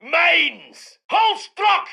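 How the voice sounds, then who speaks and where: An actor playing a cocky, commanding heavy tank commander in a war video game, with a Scottish accent and a gravelly, gruff voice. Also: male voice, very high voice, expressive, drawn-out words, pushed and bright, shouting, full character voice. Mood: alarmed, urgent. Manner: commanding, salty, colourful slang. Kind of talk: shouted military unit voice lines.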